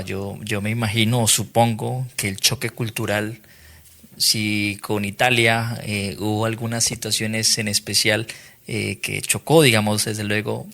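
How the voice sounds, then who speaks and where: A Colombian man hosts an interview with a Colombian Spanish accent. His low voice is bright and gravelly with little pitch movement. He builds his questions slowly, pausing on fillers. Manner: measured, searching for words with fillers. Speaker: podcast host.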